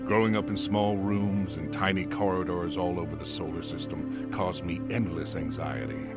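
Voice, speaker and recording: A gruff, guarded frontier loner with a very low voice and a terse drawl. Loud music plays behind him in an audio drama. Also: male voice.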